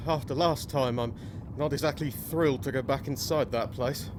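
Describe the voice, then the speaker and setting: An actor playing an anxious, haunted young gentleman in a gothic audio drama, earnest and formal in his delivery. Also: mid-range voice, moderate pace, background noise, natural voice. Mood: uneasy. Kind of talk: gothic audio drama acting and first-person narration.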